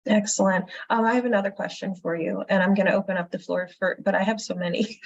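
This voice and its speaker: A woman's voice: medium pitch, steady tone, quick pace, no room sound. A woman from the Caribbean moderating a forum, asking questions conversationally with many fillers. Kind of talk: interview questions. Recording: dry, slightly dull recording.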